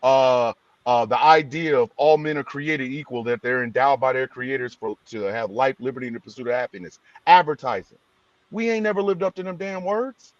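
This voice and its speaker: A man's voice: medium pitch, normal speed, no room sound. A man co-hosting a podcast, making his point emphatically in a building cadence. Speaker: podcast co-host. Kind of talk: casual podcast discussion. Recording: dry, slightly dull sound.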